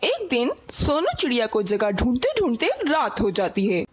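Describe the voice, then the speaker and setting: A woman's voice: on the high side, huge pitch swings, moderate pace, slightly rough. A woman narrating a scripted children's story as its storyteller.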